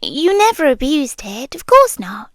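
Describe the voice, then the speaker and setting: A woman with a British accent playing a possessive, lovestruck monster girlfriend in an intimate ASMR roleplay, often dropping into breathy whispers. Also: sits high, big pitch swings, fairly bright tone, no echo, studio quality, heavily acted. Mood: wistful, whispered. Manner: slow, breathy, often whispering, intense and tender. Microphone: close ASMR microphone, dry.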